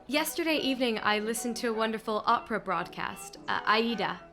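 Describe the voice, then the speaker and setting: A struggling young woman in a Depression-era radio play. Her voice is on the high side, earnest and a little jittery. She sounds guarded, with soft music underneath. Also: animated, brisk. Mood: affectionate. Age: young adult.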